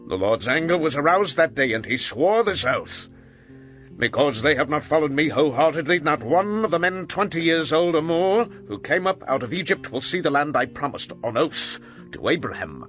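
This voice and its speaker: An actor playing a stern prophet and leader, rebuking his people in forceful oratory in a dramatized audio Bible. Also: medium pitch, dull audio, close to an everyday voice.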